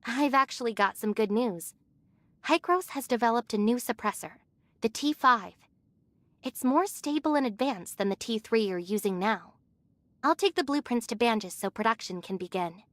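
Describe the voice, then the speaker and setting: A woman voicing a gentle, reassuring young woman with a polite, earnest manner in an English anime game. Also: fairly high pitch, animated, moderate pace, no room sound, lightly acted. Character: gentle, reassuring young woman returned home after suffering.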